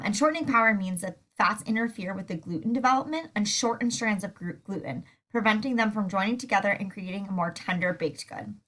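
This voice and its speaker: A woman teaching a recorded food science lecture while stuffed up with a sore throat, relaxed and full of fillers. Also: medium pitch. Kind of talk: recorded lecture over slides.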